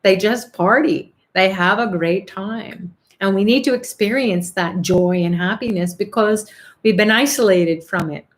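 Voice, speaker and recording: A woman's voice: mid-range voice, a little slow. Upbeat woman hosting a prayer call. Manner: upbeat, warm-hearted, laughs often, many fillers. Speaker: host of a chanting prayer call. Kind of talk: casual spiritual group chat, reading comments.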